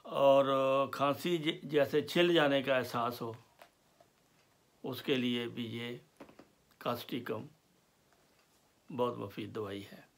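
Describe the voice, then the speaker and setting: A man lectures on homeopathic remedies at an unhurried crawl. His voice carries a gravelly fry, and he takes his time, filling gaps with soft hesitations as he lists symptoms. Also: mid-range voice, steady tone. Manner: slow and deliberate, with pauses and fillers. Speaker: homeopathy teacher. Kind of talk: slow explanatory lecture.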